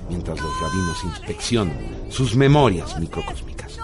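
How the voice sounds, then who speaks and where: Deep theatrical radio-drama narrator. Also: male voice, very animated, normal speed. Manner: solemn, theatrical. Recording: music bed behind voice.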